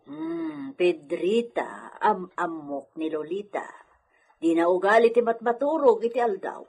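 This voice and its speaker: An animated, suspicious Ilocano mother. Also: medium pitch, a little slow, breathy edge, exaggerated voice. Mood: suspicious, insistent. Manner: probing, insistent questioning. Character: worried, suspicious mother pressing for the truth. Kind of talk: Ilocano radio drama acting.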